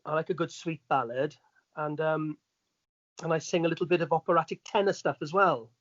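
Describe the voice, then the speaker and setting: A middle-aged Welsh man with a fairly high voice speaks as an entertainer being interviewed. He chats in an easy anecdotal way with little asides to the listener. The sound is slightly dull, though fairly dry. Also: steady tone, fairly quick, fairly bright tone, no room sound. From Wales. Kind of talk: casual anecdotal chat.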